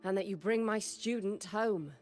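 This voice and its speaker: An actress playing a stern, world-weary teacher in a video game, speaking in a dry, measured, exasperated way. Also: female voice, mid-range voice, lightly acted. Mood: measured, serious. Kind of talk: video game story voice acting. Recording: game music behind the voice. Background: game music.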